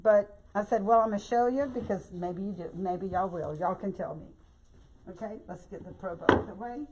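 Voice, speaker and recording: Hoarse older Louisiana woman. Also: mid-range voice, normal speed, very noisy. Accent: Louisiana Southern US. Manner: chatty and folksy, keeps saying y'all. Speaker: craft tutorial host. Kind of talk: casual bow-making demonstration.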